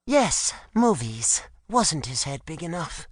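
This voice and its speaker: A man's voice: fairly high pitch, sing-song, slow pace, no room sound, voice pushed up, exaggerated voice. A man voicing a blunt, grumbling woman warrior in an audiobook.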